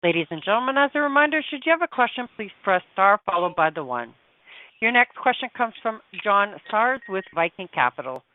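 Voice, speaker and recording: A woman's voice: mid-range voice, big pitch swings, fairly quick. A woman working as a conference call operator, reading scripted announcements. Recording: dry, narrow telephone audio.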